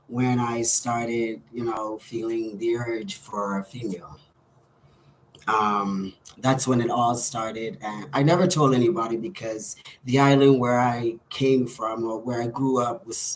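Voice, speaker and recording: A man's voice: mid-range voice, slight background noise, rough recording. A man in his thirties with a Bahamian accent tells a personal story to camera in a candid, hesitant way. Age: 30s. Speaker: vlogger.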